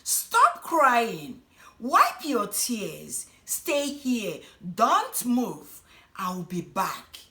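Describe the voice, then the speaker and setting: A woman voicing a tall, kindly male monster from a folk tale, gently fatherly as he comforts and instructs. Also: a little high, wildly swooping pitch, extremely slow, full character voice. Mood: soothing, firm.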